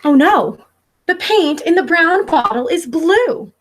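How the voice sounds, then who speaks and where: A woman voicing a keen, excitable young female cat in a storybook. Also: extremely high pitch, slow pace, pitched above the performer's own voice, heavily acted. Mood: worried.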